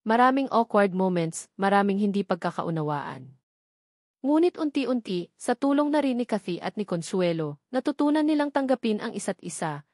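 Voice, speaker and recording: A woman narrates a scripted romantic drama. Her voice sits at a medium pitch, and the recording is dry with little room sound. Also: a little mellow, dry recording.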